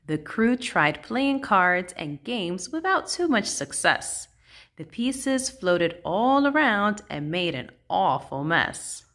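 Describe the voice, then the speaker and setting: A woman reading a rhyming children's picture book aloud in a measured, sing-song, expressive way. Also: medium pitch.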